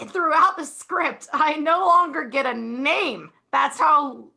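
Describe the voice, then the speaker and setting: Woman, guest co-host, bright sharp tone, slightly high, outspoken, sardonic. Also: slow pace, creaky. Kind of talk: casual comic banter.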